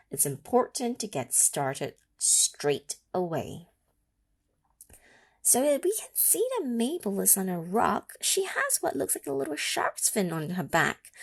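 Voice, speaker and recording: Slow, gravelly storytime reader, woman. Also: mid-range voice. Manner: expressive and gently encouraging, asks listeners questions. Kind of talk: reading a picture book aloud to children.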